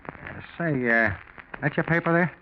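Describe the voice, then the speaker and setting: An actor playing a chatty, grumbling streetwise hobo with folksy slang, in 1940s radio drama style. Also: male voice, fairly high pitch, normal speed, lightly acted. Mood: friendly, curious. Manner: folksy slangy talk, animated. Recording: muffled old radio recording with faint noise.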